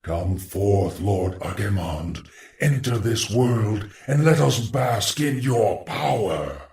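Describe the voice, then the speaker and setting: A man voicing a solemn, sinister undead lich in a fantasy game, grave and measured, with a hollow ghostly tone. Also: lowish voice, expressive, creaky, darkish tone, full character voice. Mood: solemn summoning. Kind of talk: dramatic fantasy game acting. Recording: echoing, distant-sounding voice.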